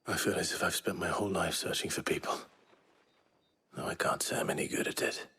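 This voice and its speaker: A man playing a brooding, courteous young swordsman in a video game cutscene, speaking in a reserved, measured way. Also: deep voice, steady tone, sharp tone, husky, in a whisper, exaggerated voice. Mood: guarded, weary. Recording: music behind the voice.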